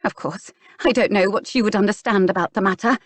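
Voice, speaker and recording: A woman's voice: medium pitch, sing-song, moderate pace, no echo, voice pushed up, close to an everyday voice. A woman voicing a prim, straight-laced young lady who grows indignant and furious. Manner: indignant, rising to anger.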